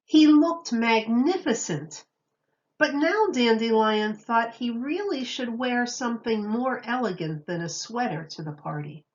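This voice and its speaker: A woman teacher reading a children's story aloud, expressively, with deliberate, emphatic phrasing. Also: fairly high pitch, animated, dull audio.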